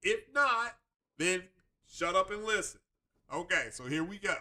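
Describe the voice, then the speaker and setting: Male stream host, raspy from a cold, bright forceful tone, loose rambling chat. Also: high voice, animated, a little slow. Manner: rambling, chatty, loose restarts. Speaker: tech channel host. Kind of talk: casual live-stream chat.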